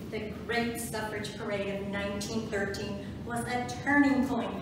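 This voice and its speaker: A woman's voice: mid-range voice, natural voice. A woman playing a proud, earnest veteran suffragist, telling her story emphatically in amateur reader's theatre. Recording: echoey, noisy room from far off.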